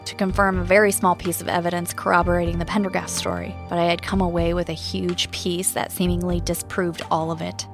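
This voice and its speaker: Casting: actress as a sincere, questioning female radio host and podcaster, slightly low register, for a scripted mystery drama. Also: close to an everyday voice. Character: earnest, doubting woman radio host and investigative podcaster. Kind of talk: scripted audio-drama narration and dialogue. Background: music.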